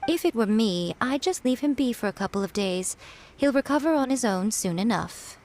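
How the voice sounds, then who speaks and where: A woman voicing a sly, teasing shrine priestess in a video game, amused and unhurried. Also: a little high, expressive, darkish tone, slight background noise.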